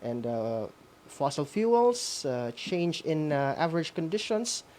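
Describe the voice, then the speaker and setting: A man's voice: on the high side, very animated, slow pace, darkish tone. Man, Filipino accent, hesitant phrasing, frequent tag questions, informal educational host. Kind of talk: informal lesson recap to students.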